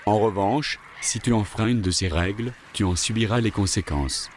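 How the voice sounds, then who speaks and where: A man playing a stern, matter-of-fact kidnapper who translates for his boss in an audio drama, measured and firm. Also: fairly low pitch, a little hiss or hum, close to an everyday voice.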